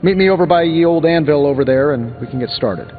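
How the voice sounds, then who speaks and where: A man's voice: fairly high pitch, quick pace, lightly acted. A sardonic American genius inventor hero, played by a male game actor, talks in a glib, conversational way. His voice is slightly muffled and rings with long reverb, with music underneath. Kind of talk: video game dialogue acting.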